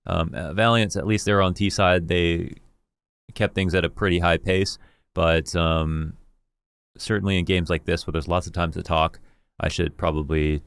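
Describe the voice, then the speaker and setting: A man with a deep voice, an esports commentator candidly reviewing his own work in a rambling, self-critical way. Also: creaky, clear, resonant tone, no echo, clean studio sound. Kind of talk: reflective talk reviewing his own casting.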